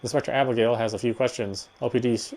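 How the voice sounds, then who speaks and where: A man's voice: mid-range voice, steady tone, quick pace, a little mellow, low-quality recording. A man reading first-person visual novel text aloud as narration, stumbling now and then over words.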